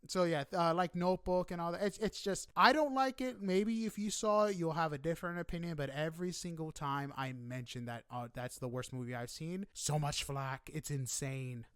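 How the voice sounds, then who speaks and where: Male podcast host, fairly high voice, dry close sound, chatty, effusive, informal. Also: moderate pace, hardly any pauses, smooth, dry recording. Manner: effusive, informal, animated reactions. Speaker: podcast interviewer. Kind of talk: casual, chatty interview.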